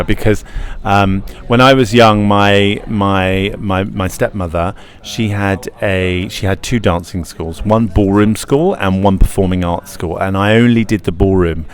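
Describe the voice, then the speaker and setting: A man, a pantomime actor, chatting playfully and encouragingly in an interview. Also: low voice. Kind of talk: casual interview chat.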